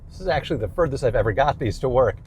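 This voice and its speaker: A man's voice: medium pitch, lively intonation, racing, very noisy. A man presenting a product range test in a casual, conversational way from inside a car.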